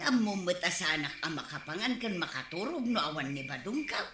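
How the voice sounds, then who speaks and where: A woman playing a shrill, nagging wife. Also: sing-song, fairly quick, roomy sound, noisy background. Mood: complaining. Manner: shrill scolding, calls out loudly. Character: nagging wife hunting her drunk husband. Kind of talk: Ilocano radio comedy drama acting.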